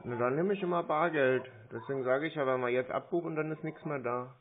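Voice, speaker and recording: Casting: man, mid-pitched, playing a clueless, hesitant phone customer; muffled line, faint noise. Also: brisk. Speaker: prank scam-baiter playing a clueless customer. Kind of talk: casual phone call.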